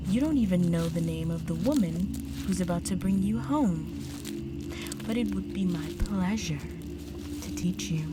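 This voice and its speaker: Vampire countess for audio roleplay, played by a woman: female, lowish voice, very slow, seductive, possessive, breathy, politely condescending. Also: heavy background noise. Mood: teasing, possessive. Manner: teasing, condescending, elegant, slow and deliberate. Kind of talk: roleplay audio drama monologue. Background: music.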